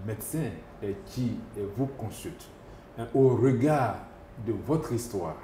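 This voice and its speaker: A man's voice: medium pitch, animated, unhurried, dark tone, slight background noise. A man, a doctor answering interview questions, hesitant, with many fillers and restarts. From Côte d'Ivoire. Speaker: epidemiologist doctor. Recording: echoey room with faint ambience.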